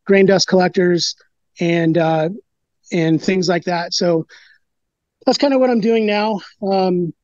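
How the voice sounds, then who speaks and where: A brewer in his thirties, giving an interview over a video call and talking in a halting way with many fillers and restarts. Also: high voice, a little mellow, no room sound. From United States. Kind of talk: casual interview talk. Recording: dry, dull lo-fi video call.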